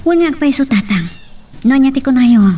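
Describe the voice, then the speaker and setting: A woman's voice: sits high, animated, normal speed, dark tone, slightly rough. A woman playing an earnest, dutiful young peasant daughter in an audio soap drama. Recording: distant mic, faint music behind.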